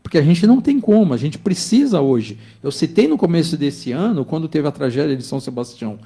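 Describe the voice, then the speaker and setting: Business guest, male, 50s: gravelly, warm-toned, emphatic and insistent. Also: fairly high pitch, sing-song, brisk. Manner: emphatic, insistent, keeps checking with the listener. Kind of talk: opinionated studio discussion.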